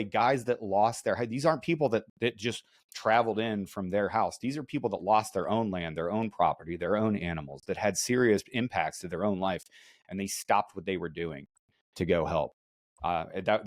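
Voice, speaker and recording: A man's voice: lowish voice, dry recording, clean studio sound. An American man co-hosting a podcast, telling stories casually in a blunt, emphatic, profane way. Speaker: podcast co-host, military special operations veteran. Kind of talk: casual podcast chat, storytelling.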